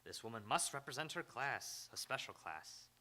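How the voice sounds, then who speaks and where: A man's voice: medium pitch, lively intonation, moderate pace, pushed and bright. A man playing a suave, needling ex-husband in live radio theatre, dry and taunting with firm emphasis. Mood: pointed, earnest. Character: sardonic, needling, suave ex-husband, reformed drinker. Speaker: stage radio actor. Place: live on an auditorium stage.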